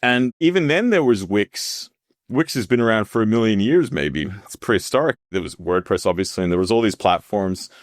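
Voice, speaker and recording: Deep-voiced man telling stories. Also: a little fast, no echo, clean studio sound. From Australia. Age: 30s. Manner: relaxed, rambling, laughs often. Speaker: podcast guest. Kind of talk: casual storytelling interview.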